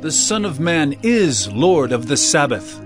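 Earnest bible teacher preaching, higher male voice, really expressive and emphatic, music behind. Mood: challenging, firm. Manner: solemn, emphatic, measured preaching. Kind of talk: dramatized audio Bible acting.

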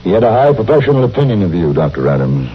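Formal, low-voiced visiting city doctor. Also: male voice, big pitch swings, breathy edge. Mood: earnest, persuasive. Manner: polite, measured, formal. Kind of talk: 1950s radio western acting. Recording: muffled, hissy old radio recording.

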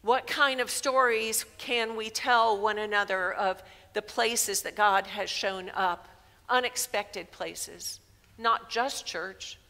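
A woman in her sixties preaching a sermon in a church sanctuary through a headset mic, measured and emphatic. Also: medium pitch, pushed and bright. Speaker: pastor. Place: large church sanctuary, indoors. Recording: long reverb of a church hall.

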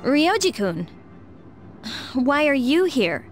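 A teenage anime game schoolgirl, wary and outspoken. Her voice sits high and swings in a lively way, with faint music underneath. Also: slow pace, heavily acted. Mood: puzzled. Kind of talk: anime video game dub acting.

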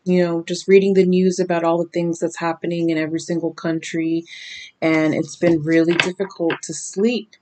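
A woman in her thirties, raspy from lack of sleep, giving a slow, emphatic tarot reading to the camera in a small bedroom. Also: fairly low pitch, flat delivery, warm tone. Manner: slow, emphatic, drawn-out warnings. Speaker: tarot reader. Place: small decorated bedroom indoors.

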